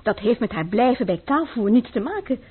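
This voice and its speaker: Self-possessed woman for radio play; firm, precise, breathy-husky, on narrow phone-like audio. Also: on the high side, sing-song, a little fast. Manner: assertive, precise. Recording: muffled, phone-like old radio recording.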